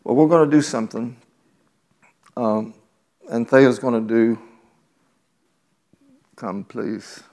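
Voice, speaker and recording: A man's voice: mid-range voice, slow pace, pauses often, darkish tone. A man preaching a sermon in a teasing, conversational way, reading scripture and talking directly to the congregation. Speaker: pastor.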